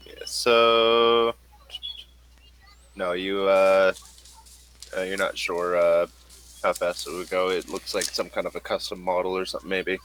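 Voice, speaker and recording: A man narrating a tabletop roleplaying game as game master, haltingly and searching for words. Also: low-pitched, level tone, a bit bright.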